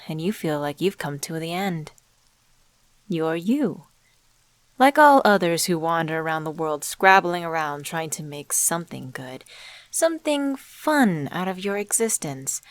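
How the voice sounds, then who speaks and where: A woman playing an enigmatic, suave stranger in a scripted ASMR roleplay, speaking to the listener in a measured, teasing, condescending and knowing way. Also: lowish voice, no room sound. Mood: knowing, probing. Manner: measured, teasing, rhetorical questions, condescending endearments.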